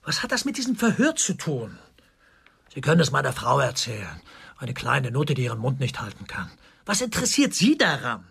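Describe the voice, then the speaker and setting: An actor playing a defensive, tormented army officer under interrogation in a German radio crime drama, with a husky, gravelly voice. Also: male voice, medium pitch, wildly swooping pitch, moderate pace, fairly bright tone, full character voice. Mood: indignant. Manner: agitated, swinging between indignant outbursts and subdued confession. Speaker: suspect, military governor.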